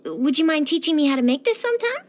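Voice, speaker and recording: Casting: young female game character, nosy cheerful journalist, high voice, very animated, theatrical and chatty. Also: quick pace, a little hiss or hum. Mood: chatty, playful. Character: young female newspaper reporter, eager, curious, nosy, cheerful. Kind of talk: scripted video game character voice lines.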